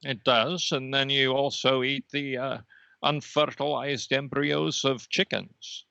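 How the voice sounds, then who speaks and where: A man voicing a smug cosmic energy being, speaking in a polite, measured, faintly superior way on a comedy podcast. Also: medium pitch, flat delivery, creaky, sharp tone, smooth, no room sound, lo-fi sound, heavily acted. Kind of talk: improvised comedy podcast character interview.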